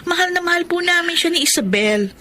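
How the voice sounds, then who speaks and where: A woman's voice: very high-pitched, expressive, brisk, sharp tone, exaggerated voice. An actress playing a polite young teacher speaking with her mother in a radio-style drama. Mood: warm.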